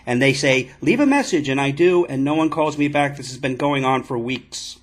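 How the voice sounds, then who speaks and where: A man playing an indignant father making an absurd complaint on a prank call, halting and repeating himself insistently. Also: mid-range voice, lively intonation, lightly acted. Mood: exasperated. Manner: halting, repetitive, insistent, addressing listener as ma'am. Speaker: prank caller.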